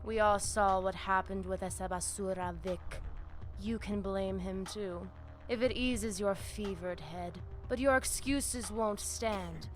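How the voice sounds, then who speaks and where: A woman voicing a proud, scornful vampire war leader in a video game, measured and oratorical, dropping in Spanish words. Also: mid-range voice, soft background music, natural voice. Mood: scornful, taunting.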